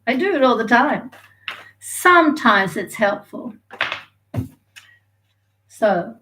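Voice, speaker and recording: A woman's voice: fairly high pitch, fairly bright tone, poor audio. A woman, from Sweden and Australia, giving a chatty, rambling live tarot reading and laughing easily. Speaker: tarot reader.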